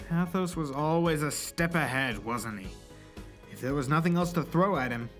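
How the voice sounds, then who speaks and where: A story narrator with a mid-range voice delivers scripted, dramatic prose. Soft music plays underneath the reading. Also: medium pitch, normal speed.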